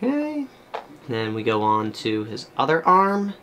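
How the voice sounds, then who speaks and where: A young man casually talking through painting a miniature, chatty and rambling, with a loud projected voice, in a small room at home. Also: mid-range voice, huge pitch swings, a little slow, a little hiss or hum. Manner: rambling, chatty, many fillers. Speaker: miniature painting channel host. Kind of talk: casual tutorial commentary while painting.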